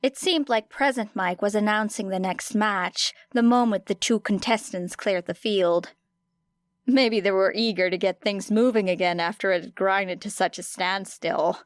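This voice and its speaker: A woman reading scripted fiction as an audiobook narrator, expressive, with deliberate emphasis on key phrases. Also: mid-range voice, no room sound.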